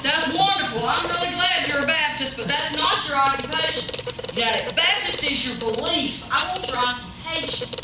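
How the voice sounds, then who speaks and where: A woman's voice: high-pitched, normal speed. A woman, playing the exasperated straight role in a live comedy skit and laughing often. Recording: echoey live room, mic far off, noisy.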